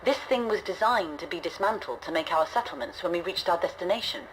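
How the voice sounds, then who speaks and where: A resolute, weary woman in command of a stranded starship , played by an actress, speaks in a low voice. Her delivery is measured, grave and reflective, like a scripted log in a science fiction game. A faint noisy ambience sits behind her words. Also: expressive, close to an everyday voice. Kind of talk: scripted audio log narration, dramatic game acting.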